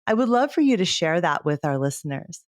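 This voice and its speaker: A woman's voice: fairly low pitch, sing-song, brisk, no room sound, clean studio sound. A woman hosting a podcast interview, effusive and enthusiastic toward her guest. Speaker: podcast host, doctor.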